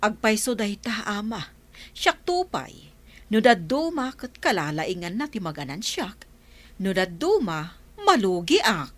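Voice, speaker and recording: A woman's voice: mid-range voice, very animated, measured pace, fairly bright tone. A concerned Ilocano Filipino woman, played by a woman speaking respectfully to an elder in an audio drama. Her mid-range voice swings expressively up and down. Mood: conversational. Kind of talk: amateur audio drama acting.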